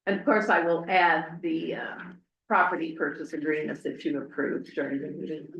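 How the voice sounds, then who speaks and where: A woman's voice: low voice, moderate pace, a bit bright. A woman speaking as a city clerk, explaining procedure at a public meeting in a council room. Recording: dull, echoey meeting room audio.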